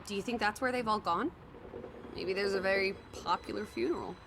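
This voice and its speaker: A woman's voice: mid-range voice, fairly quick, background noise, natural voice. An actress playing a sociable, cheeky young woman of the 1920s, theatrical and teasing, in a period drama video game. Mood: curious. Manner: playful, teasing, old-fashioned slang.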